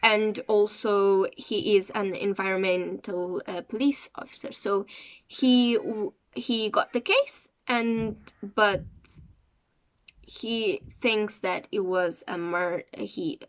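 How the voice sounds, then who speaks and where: Slow, hesitant woman. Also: mid-range voice, steady tone. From Cape Verde. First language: Portuguese. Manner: hesitant, searching for words as she goes. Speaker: series reviewer. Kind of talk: casual review vlog.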